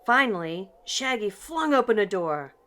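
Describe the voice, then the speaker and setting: An older woman reading a children's picture book aloud in an expressive, deliberate storytelling manner. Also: medium pitch, music in the background. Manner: slow, deliberate, animated delivery for children. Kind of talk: expressive scripted read-aloud narration.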